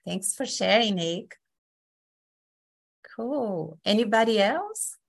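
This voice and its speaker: A woman from Brazil with a Brazilian accent, hosting an online session as facilitator in a friendly, unhurried way with many fillers. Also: medium pitch, animated, vocal fry, breathy edge.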